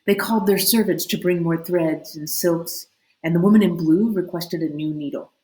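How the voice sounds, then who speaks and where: A woman reading a story aloud as an expressive narrator, with deliberate, dramatic emphasis. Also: lowish voice. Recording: echoey room.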